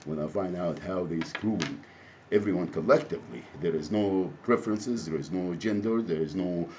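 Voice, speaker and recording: A man from Egypt with an Egyptian Arabic accent, speaking off the cuff in a halting, repetitive, rambling monologue. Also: deep voice, darkish tone. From Alexandria, Egypt. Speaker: activist, self-described advocate. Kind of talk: impromptu spoken monologue to camera. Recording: muffled audio with faint background noise.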